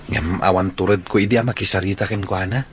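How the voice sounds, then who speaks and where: Guy acting a nice polite young suitor in radio comedy, fairly low voice, agreeable, noisy background. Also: fairly even intonation, brisk, close to an everyday voice. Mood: polite, bashful. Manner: respectful, agreeable.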